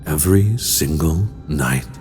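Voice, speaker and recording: A man playing a sinister horror-story host in an audio drama, narrating in a slow, deliberate, theatrical and menacing way. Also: very deep voice, expressive, darkish tone, exaggerated voice. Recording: long reverb, music underneath.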